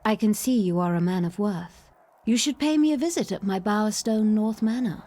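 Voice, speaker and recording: A woman's voice: medium pitch, expressive, normal speed, dark tone, natural voice. A woman playing a haughty, seductive noblewoman mayor in a video game, poised and formal. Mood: coolly charming. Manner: poised, imperious, flirtatiously formal.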